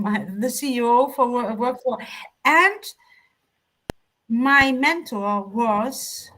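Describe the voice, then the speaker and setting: A woman whose first language is German, speaking reflectively and off the cuff as a panelist, in a measured, halting way with emphatic pauses. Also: a little high, bright, forceful tone, poor audio. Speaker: panelist on a talk show. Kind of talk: reflective unscripted panel talk.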